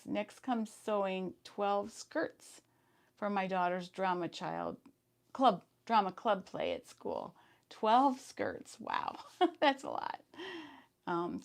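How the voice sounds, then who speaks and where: A woman in her fifties hosting a sewing live stream, chatting casually and reading viewer comments, her voice hoarse today. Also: medium pitch. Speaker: sewing channel host.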